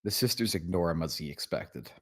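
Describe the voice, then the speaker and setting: Deep-voiced man narrating a script. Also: expressive, a little fast, slightly rough. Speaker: stage-direction narrator. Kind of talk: scripted narration at a screenplay table read.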